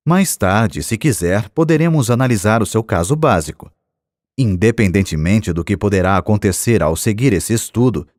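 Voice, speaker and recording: A man with a low voice and a Brazilian accent reads scripted audiobook narration. His intonation moves in a lively way, and the delivery stays measured and expressive. The sound is close and dry. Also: dry recording.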